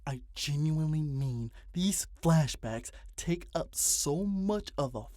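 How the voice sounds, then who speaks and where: A man's voice: on the high side, expressive, slow, a little mellow, no room sound. Twenty-something guy ranting his video essay, cussing a lot, very dry close sound. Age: 20s. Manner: exasperated, profane, emphatic.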